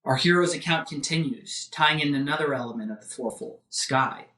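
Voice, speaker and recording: A man's voice: medium pitch, brisk, sharp tone. Echoey, distant man lecturing on philosophy. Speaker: professor lecturing. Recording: roomy echo, distant microphone.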